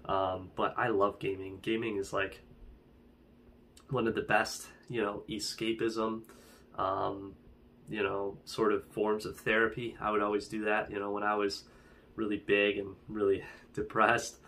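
A young man chats to the camera in a loose, rambling way, leaning on fillers as he talks. His voice sits fairly low and stays level, with a faint hum of the room behind him. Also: little pitch movement, unhurried, a bit bright. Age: young adult. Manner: chatty and rambling, heavy fillers. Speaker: fitness vlogger. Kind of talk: casual talking-head vlog. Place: small indoor room with wood-panel wall.

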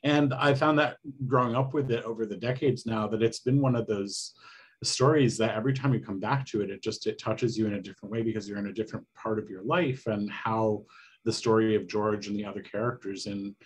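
Husky low-voiced middle-aged man. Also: fairly even intonation, a little fast, poor audio. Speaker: playwright, panel guest. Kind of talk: casual panel discussion. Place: indoors, on a video call. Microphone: video call microphone.

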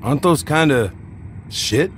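An actor playing a cocky, self-pitying convict in a video game, talking in brash, needling slang. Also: male voice, a little high, animated, a little slow, a little hiss or hum. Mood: needling, casual. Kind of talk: video game dialogue acting.

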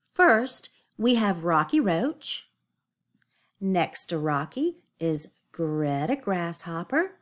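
A woman teacher reading a picture book aloud to young children in an expressive, deliberate way, pausing to explain and ask questions. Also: medium pitch.

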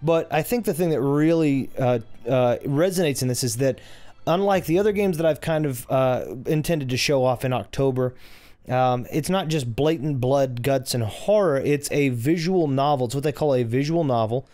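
A man with a low voice hosting a casual game playthrough, chatty and rambling, correcting himself as he goes. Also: normal speed, warm tone, no room sound. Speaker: gaming channel host. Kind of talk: casual let's-play commentary.

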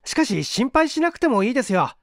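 A man voicing an earnest young male assistant, courteous and brisk, in an audiobook story. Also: very high-pitched, sing-song, no echo, clean studio sound, pitched above the performer's own voice, exaggerated voice. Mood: earnest, polite.

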